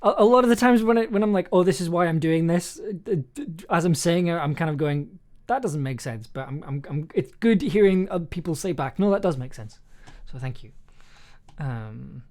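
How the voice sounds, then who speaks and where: A livestream host chatting casually, halting and breaking off mid-sentence while thinking aloud and reading out writing. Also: mid-range voice, animated, a little mellow. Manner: hesitant, halting, self-interrupting, thinking aloud. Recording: music playing behind the voice. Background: music, computer keyboard typing.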